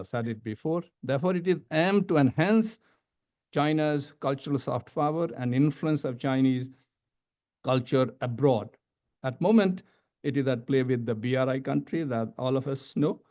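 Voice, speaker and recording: A middle-aged man with an Indian accent giving a panel presentation as an academic, halting and repeating words as he stresses each new point. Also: mid-range voice, warm tone. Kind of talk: panel presentation, semi-scripted lecture. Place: medium-sized indoor conference room. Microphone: gooseneck table microphone.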